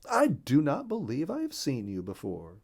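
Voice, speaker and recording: A man's voice: a little high, huge pitch swings, moderate pace, creaky, darkish tone, voice pushed up, full character voice. A man voicing a friendly, curious father bluebird in a storybook, speaking in a polite, neighborly way.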